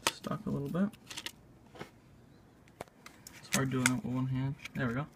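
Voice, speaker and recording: A man narrating a casual hands-on tutorial in a halting, unscripted way, thinking aloud as he works. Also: mid-range voice, level tone, noisy background. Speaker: video maker demonstrating a repair. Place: indoors at a tabletop.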